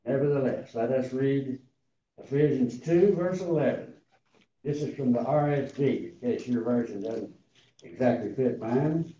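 Elderly male preacher in his 80s, raspy and dark-toned, heard from afar in a roomy church hall. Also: mid-range voice. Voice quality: raspy, tender voice. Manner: slow, deliberate, halting reading. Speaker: preacher reading scripture. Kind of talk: sermon with scripture reading. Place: large church hall before a seated congregation. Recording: roomy, distant, dull hall sound.

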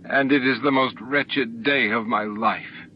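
A man voicing a gentle, affectionate and sorrowful young female alien in an audiobook, speaking formally. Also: mid-range voice, fairly even intonation, unhurried, lightly acted. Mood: pained. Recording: dull noisy audiobook recording.

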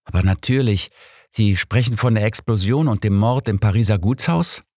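A man's voice: lowish voice, moderate pace, a little mellow, no room sound, clean studio sound, voice pushed up. A man voicing a polite, earnest young suspect who is puzzled but cooperative, in an audiobook.

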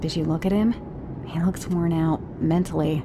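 A woman's voice: low voice, steady tone, moderate pace, background noise, close to an everyday voice. A woman playing a cool, pragmatic mercenary team leader in a video game, speaking in a controlled, matter-of-fact way. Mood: wary, frank. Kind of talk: video game cutscene voice acting.

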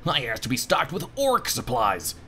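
A man's voice: medium pitch, wildly swooping pitch, normal speed, bright, forceful tone, soft background music. A man voicing a grumpy, indignant shopkeeper in a game, grumbling as he talks.

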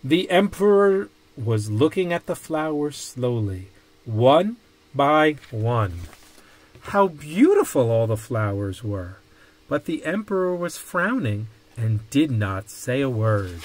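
Slow, animated man reading a storybook. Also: mid-range voice, low-quality recording. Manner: deliberate, dramatic phrasing. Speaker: storybook reader. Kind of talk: expressive read-aloud of a children's picture book.

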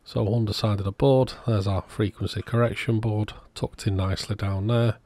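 A man's voice: fairly low pitch, level tone, normal speed, a little mellow. A man giving a casual, matter-of-fact workbench narration as the host of an electronics repair video.

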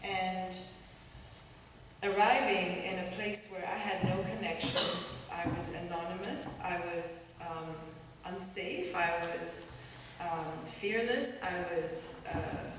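A woman's voice: fairly low pitch, steady tone, noisy background. Kenyan woman musing in an echoey hall. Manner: thoughtful, halting, many pauses and restarts. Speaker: artist panelist. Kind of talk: reflective onstage conversation. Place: stage in a large auditorium. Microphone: stand mic a little away. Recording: echoey hall, distant mic.

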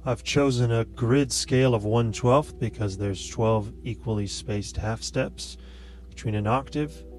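A middle-aged man giving a tutorial demonstration on music software in a small home studio. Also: mid-range voice, steady tone, measured pace, background noise.

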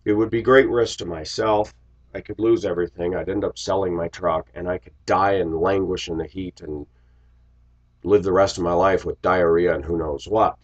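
A middle-aged American man with a low, rough-edged voice, getting over a cold. He rambles reflectively to his viewers in a casual, confessional way. The audio is dull and lo-fi, from a webcam in a small room. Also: steady tone, moderate pace. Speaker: vlogger. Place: small indoor room with shelves. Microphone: webcam microphone at desk distance.

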